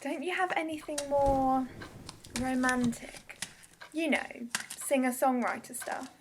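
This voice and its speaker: A woman's voice: sits high, slow, background noise. An actress playing a teasing, flirtatious woman who is insecure and wounded underneath, in a radio drama. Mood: flirty, teasing. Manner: teasing, confessional.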